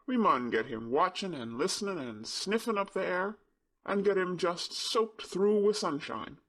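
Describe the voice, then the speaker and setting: A man's voice: sits high, expressive, measured pace, pitched above the performer's own voice, full character voice. A man voicing a cheerful Yorkshire country boy who speaks in broad dialect. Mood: eager. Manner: broad dialect, eager and warm.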